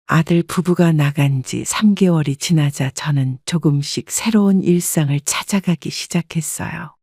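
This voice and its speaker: A woman in her seventies calmly narrating a reflective first-person life story. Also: sits low, no room sound, studio quality. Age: 70s. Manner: calm, reflective.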